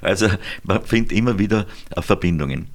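A man's voice: low-pitched, animated, fast. A man hosting a radio talk, chatty and explanatory, with frequent hesitations. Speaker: radio host. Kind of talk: radio conversation.

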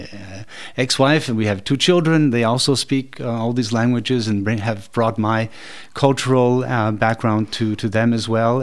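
A somewhat low-pitched man speaks as a guest in a radio studio. He answers thoughtfully, pausing often and filling gaps with hesitations. His talk is relaxed and conversational. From Norway. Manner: thoughtful, hesitant with many fillers. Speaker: guest, festival director.

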